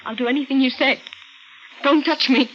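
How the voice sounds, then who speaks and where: An actress playing a frightened, unravelling young woman in a 1950s radio thriller, with halting, fragmented phrases. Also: sits high, steady tone, a little hiss or hum. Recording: muffled old radio recording with loud music.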